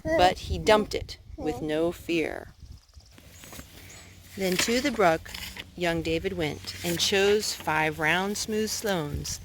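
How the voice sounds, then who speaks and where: A woman reading picture books aloud for children's storytime in an expressive, deliberate way. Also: medium pitch, noisy background.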